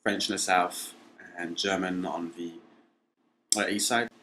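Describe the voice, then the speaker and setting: A man's voice: low voice, fairly even intonation, unhurried, pushed and bright, slight background noise. A man in his twenties from Belgium, telling his life story in a casual, reflective way in an interview. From Belgium, English family.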